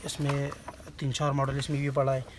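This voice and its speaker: A man's voice: medium pitch, completely flat delivery, fairly quick, noisy background. A man, casually showing goods and giving prices as a shopkeeper. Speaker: shopkeeper, seller. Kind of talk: casual product showing and pricing. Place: small cluttered market shop indoors. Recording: busy market shop, background chatter.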